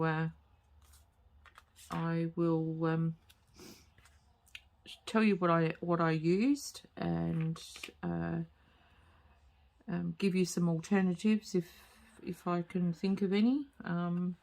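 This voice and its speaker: A woman with a slightly croaky voice, hosting a casual craft tutorial at home and talking hesitantly with many fillers. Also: lowish voice, level tone, slow pace, pauses often, darkish tone. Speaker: crafting channel host. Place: home craft table, late at night.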